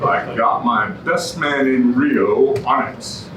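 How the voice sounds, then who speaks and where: An actor playing a wisecracking, flirtatious film noir detective full of hard-boiled slang, in a staged musical comedy reading. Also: male voice, mid-range voice, slow pace, close to an everyday voice. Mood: tough, cocky. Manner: slangy hard-boiled patter with purple similes. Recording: roomy, distant, noisy live stage recording.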